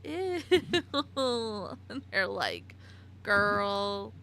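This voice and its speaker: Slow, bright-voiced woman streamer in her twenties. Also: mid-range voice, big pitch swings. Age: 20s. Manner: chatty, giggly, answers chat by name. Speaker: live streamer. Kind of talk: casual live-stream chat while playing.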